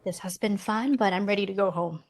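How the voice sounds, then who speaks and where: An actress playing a practical, doubting millennial twin sister in a romcom script read. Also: female voice, mid-range voice, fairly quick, slight background noise, lightly acted. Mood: frustrated.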